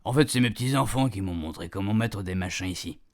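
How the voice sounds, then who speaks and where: A man's voice: fairly low pitch, fast talker, close to an everyday voice. A man playing a chatty, cheeky retired grandpa who rambles colloquially through a radio fiction monologue. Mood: folksy, hesitant. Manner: rambling, colloquial, repeatedly checking his puns landed. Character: chatty retired grandpa, cheeky, self-amused, homespun storyteller.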